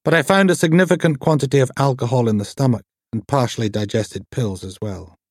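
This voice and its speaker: A man's voice: medium pitch, brisk, no room sound, studio quality, pitched above the performer's own voice, close to an everyday voice. A man voicing a precise, humourless female pathologist in an audiobook, formal and clinical.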